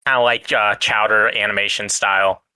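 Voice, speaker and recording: A man's voice: on the low side, fairly even intonation, moderate pace, pushed and bright, clean tone, no room sound. A man chatting casually as a podcast panelist, tentative and agreeable in how he puts things. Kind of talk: casual chat.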